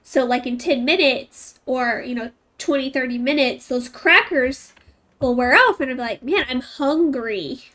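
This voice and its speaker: A woman's voice: high voice, a little slow, a bit bright, a little hiss or hum. A woman chatting casually and informally in a rambling way while she plays a game. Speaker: game streamer. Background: game music.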